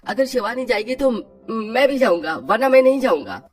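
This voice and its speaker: A woman's voice: a little high, lively intonation, normal speed, distant mic, pitched below the performer's own voice. A woman playing a polite, earnest and devoted young man in a moral story. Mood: insistent. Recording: clear music under voice.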